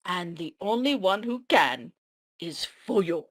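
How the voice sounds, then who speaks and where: A woman playing a cryptic female warrior who tells fantasy lore gravely and deliberately in an amateur script read. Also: lowish voice, animated. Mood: grave, dramatic.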